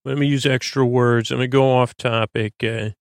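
Male podcast host for bedtime listening: creaky, close dry sound, lulling, meandering and halting. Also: medium pitch, fairly even intonation, no echo. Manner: meandering, stammering, trails into tangents; soothing, lulling delivery. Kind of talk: rambling bedtime monologue.

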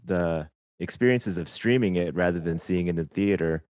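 A man on a podcast talking about a film, weighing his words and qualifying each point. His voice is very deep. The recording is dry and close. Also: normal speed, darkish tone, dry recording. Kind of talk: casual film review discussion.

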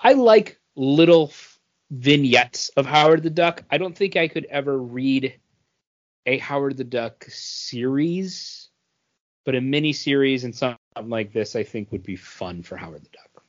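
A man's voice: mid-range voice, expressive. A man in his forties co-hosting a podcast, talking casually with plenty of um and uh fillers. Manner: unhurried, many um and uh fillers. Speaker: podcast co-host. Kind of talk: casual chat. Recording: slightly dull lo-fi audio.

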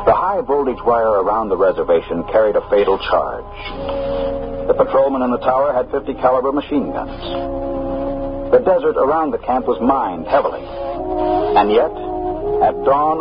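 An actor playing a grown man soberly recalling his boyhood in measured, grave first-person radio narration, with a muffled old sound. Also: medium pitch, animated, natural voice. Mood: sombre, reflective. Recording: muffled old radio recording, loud music.

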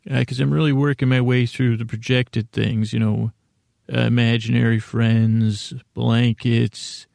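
A man hosting a sleep podcast, delivering a meandering, halting bedtime monologue full of self-interruptions and deadpan, gently silly tangents. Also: mid-range voice, creaky, no echo, studio quality. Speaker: podcast host.

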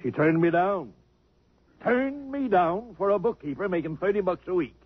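An actor playing a gruff, stingy elderly millionaire in a 1950s radio drama. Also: male voice, high voice, expressive, normal speed, dry recording. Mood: reminiscing. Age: older. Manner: blustery and cantankerous. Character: gruff elderly rich man, impatient, stingy. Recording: dull vintage radio broadcast.

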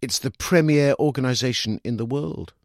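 Man putting on an eager, high-voiced teenage boy. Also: moderate pace, no room sound, studio quality, natural voice. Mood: earnest. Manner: bright-eyed, enthusiastic, guileless.

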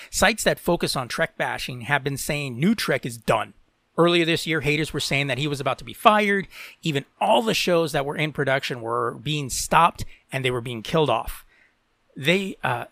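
Forceful, bright-voiced podcast host man. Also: fairly high pitch, no room sound. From Latin America. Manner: opinionated, peppered with "you know". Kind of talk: opinionated chat and news read-aloud.

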